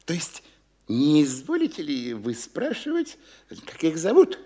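An actor playing a sly, obsequious provincial official in a radio play, flowery and ingratiating. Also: male voice, a little high, wildly swooping pitch, measured pace, warm tone. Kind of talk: classic radio theatre acting.

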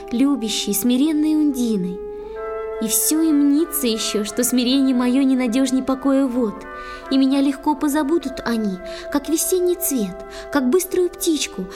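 A woman playing a gentle, devoted young water nymph bride, reciting tenderly in a poetic audio play for children. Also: high voice.

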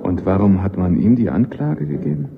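A man's voice: on the low side, expressive, fairly quick, a crowd in the background. A man playing a courteous, guarded army captain and defence counsel in a German radio play, polite and restrained with a wry edge. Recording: muffled radio drama recording with clear background noise.

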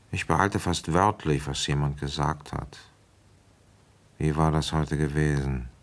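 Male police inspector for radio drama: very low pitch, reflective, methodical, measured delivery. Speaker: radio drama actor, narrator and lead. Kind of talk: German radio play narration and dialogue.

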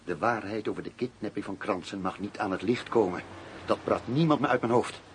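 An actor playing a grave, determined police commissioner in a radio play, speaking in a serious, deliberate, formal way. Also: male voice, fairly low pitch, a little hiss or hum, natural voice. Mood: bitter, indignant.

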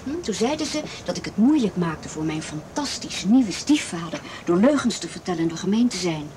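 A woman playing an anxious, guarded teenage girl who speaks haltingly in a radio play. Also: lowish voice, animated, noisy background, close to an everyday voice. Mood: bitter, resigned. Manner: halting, repeats words. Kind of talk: Dutch radio play acting.

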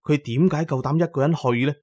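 A man's voice: fairly high pitch, fast talker, a little mellow, no echo. A man narrating a scripted first-person story in a dramatic storytelling manner. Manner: dramatic storytelling, voicing characters' lines.

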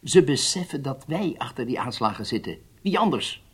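An actor playing a senior Israeli statesman in a Dutch radio drama, deliberate and persuasive, conciliatory but firm. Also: mid-range voice, big pitch swings, husky. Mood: urgent, firm.

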